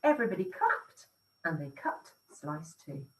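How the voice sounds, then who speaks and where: A woman teacher reading a rhyming picture book aloud in an expressive, sing-song storytelling way. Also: low voice, a little slow, far from the mic, poor audio.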